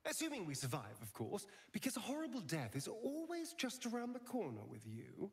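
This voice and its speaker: A man's voice: sits high, wildly swooping pitch, brisk, breathy edge, exaggerated voice. An actor playing a charming, wounded male vampire spawn in a video game, with a theatrical, drawling, wry delivery. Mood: wry, teasing.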